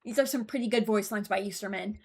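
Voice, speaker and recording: A woman's voice: mid-range voice, fast, a little mellow, breathy edge. A young woman hosting a video with casual, informal commentary on game voice lines, rambling and often interrupting herself. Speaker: streamer and video host.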